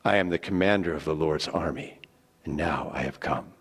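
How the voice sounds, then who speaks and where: A man playing a stern, solemn divine commander in a dramatic scripture reading, speaking slowly and gravely. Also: a bass voice, voice pushed down. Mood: grave, holy. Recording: echoey hall with long reverb.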